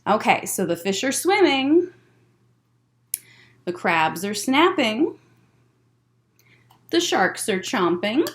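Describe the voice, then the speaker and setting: High-pitched, animated woman hosting children's story time. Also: slow, pauses often. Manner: animated, simple child-directed phrasing, encouraging. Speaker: library story time host for young children. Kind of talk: children's story time, instructing and reading aloud.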